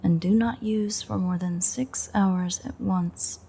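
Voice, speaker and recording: Woman acting a slow, breathy, sardonic vampire. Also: medium pitch, steady tone, dark tone, a little hiss or hum. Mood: dry reading aloud. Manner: wry, teasing, half-whispered, unhurried. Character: sardonic female vampire, threatening old enemy, secretly fond. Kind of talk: intimate audio roleplay acting.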